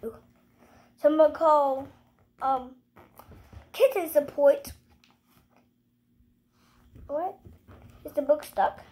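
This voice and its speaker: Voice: sits high, takes it slowly, pauses often, darkish tone. A young child hosting a read-aloud, reading a picture book with playful asides and exclamations. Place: living room at home, indoors.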